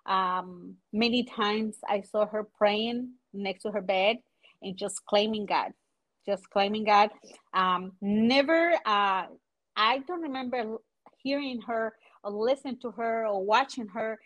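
A woman's voice: mid-range voice, fairly bright tone, highs cut off. A woman in her forties from Chile with a Chilean Spanish accent, speaking English as a second language and searching for words as an interview guest. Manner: halting, searching for words, frequent fillers. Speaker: guest entrepreneur. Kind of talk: casual interview.

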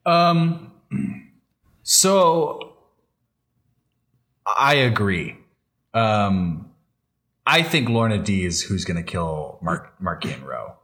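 A man co-hosting a podcast in casual chat, speaking in halting phrases that often double back on themselves. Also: lowish voice, sing-song, a bit bright. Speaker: podcast co-host.

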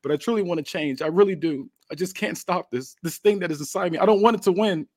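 A man's voice: medium pitch, expressive, brisk, dry recording, natural voice. A cocky, sadistic wrestling villain, acted out by a man. He delivers his promo theatrically, with a taunting, domineering manner. The sound is close and dry. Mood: tearful false remorse.

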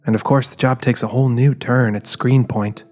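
A man's voice: medium pitch, fairly even intonation, moderate pace, lightly acted. A man playing a guarded, driven female private eye, narrating introspectively in a scripted audio drama. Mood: guarded, reflective. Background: quiet music.

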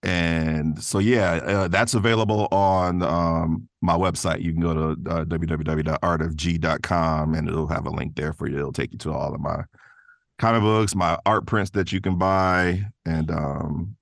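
A man's voice: low-pitched, steady tone, normal speed, gravelly, no echo, studio quality. A man speaking as an interview guest, telling stories in a casual, modest, easygoing way. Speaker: interview guest, voice actor and creator. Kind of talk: casual conversational storytelling.

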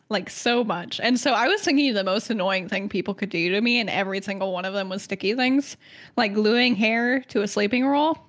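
A woman on a casual podcast, her voice sitting on the higher side. She chats freely, laughs easily and tells stories with dramatic flair. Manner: chatty, giggly, dramatic storytelling. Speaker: podcast co-host presenting lore research.

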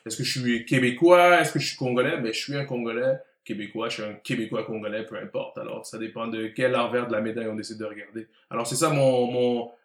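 A man's voice: medium pitch, steady tone, fast talker, few pauses. A man in his late twenties with a Quebec French accent, chatting casually and slipping English words into his talk. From Montreal, Canada. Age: late 20s. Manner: mixes English words into speech. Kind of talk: casual conversational chat. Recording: roomy, echoey room.

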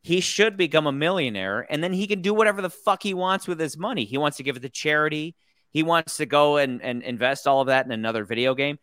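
A man's voice: fairly high pitch, fast, a bit bright, clean tone, no echo, studio quality. An American man hosting a casual movie review podcast, rambling and opinionated, swearing freely and restarting his sentences. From United States. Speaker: podcast host.

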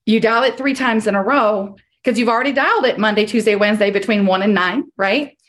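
A woman's voice: medium pitch, a little fast, a bit bright. A woman coaching salespeople on a group call, direct and emphatic, repeating her key points, and losing her voice.

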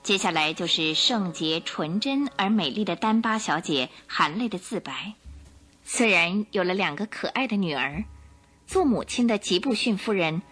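Expressive husky woman storyteller. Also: medium pitch, normal speed, faint music behind. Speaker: narrator. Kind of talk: expressive scripted story narration for radio drama.

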